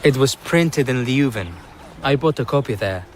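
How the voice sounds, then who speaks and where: A man's voice: medium pitch, lively intonation, normal speed, slight background noise, close to an everyday voice. An actor playing a polite, earnest, bookish young man, a traveller in a radio drama.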